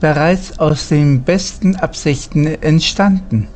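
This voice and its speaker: A speaker delivering scripted dialogue as a voice-over in a measured, formal manner. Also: medium pitch, darkish tone.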